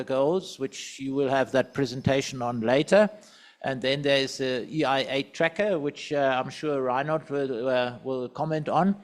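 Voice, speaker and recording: A man giving a society's financial report at a meeting, with a loud voice and a halting delivery full of fillers. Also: mid-range voice. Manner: loose, halting, many fillers and restarts. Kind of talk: presenting at a meeting. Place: meeting hall.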